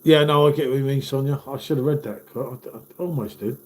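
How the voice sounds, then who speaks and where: A man's voice: mid-range voice, steady tone, fairly quick, slight background noise. A man in his fifties, hosting a casual live stream and chatting matily with viewers while stuffed up from a cold. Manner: chatty, matey, calls viewers "mate".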